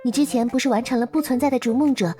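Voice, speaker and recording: A woman voicing a gentle, playful young girl companion in a surreal game, speaking softly and unhurriedly with a caring, teasing manner. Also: sits high, very fast, faint music behind, close to an everyday voice. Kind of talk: visual novel game voice acting.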